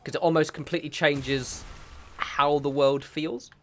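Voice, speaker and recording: A man's voice: a little high, steady tone, unhurried. A man casually commenting on a game he is playing, sniffly from a recent cold, rambling and correcting himself as he goes. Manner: rambling, self-correcting, chatty asides. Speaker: gaming channel host.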